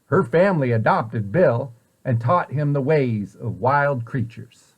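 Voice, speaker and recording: A man reading a children's story aloud in an expressive way, with deliberate, dramatic emphasis. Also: mid-range voice, husky, highs cut off. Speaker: storybook reader, site director at a children's center.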